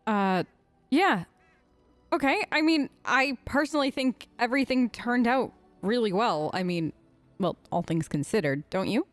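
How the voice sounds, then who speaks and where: A woman's voice: a little high, lively intonation, a little slow, no echo, faint music behind. A woman hosting a let's play, improvising role-play dialogue and commentary in an informal, conversational way.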